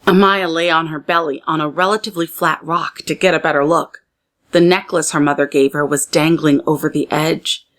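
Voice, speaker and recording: A woman with a slightly low voice reads a children's story aloud. Her phrasing is expressive and deliberate, pitched to young listeners in the way of a teacher at storytime.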